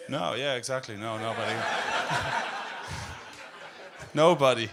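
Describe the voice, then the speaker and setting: A man with an Austrian accent doing a stand-up set in a deadpan, darkly humorous way, full of fillers and hesitations. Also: medium pitch, takes it slowly, heavy background noise. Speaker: stand-up comedian. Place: comedy club stage.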